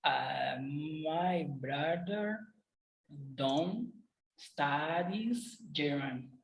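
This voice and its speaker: Low, creaky woman student hesitating. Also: animated, rough recording. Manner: hesitant, halting, many fillers.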